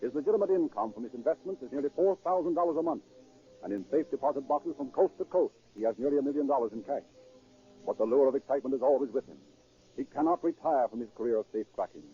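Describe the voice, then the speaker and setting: A male radio narrator drives a crime story along at a rapid clip. The delivery is scripted 1930s drama, with a slightly rough, unclear voice on a dull vintage recording. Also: medium pitch, expressive, dark tone.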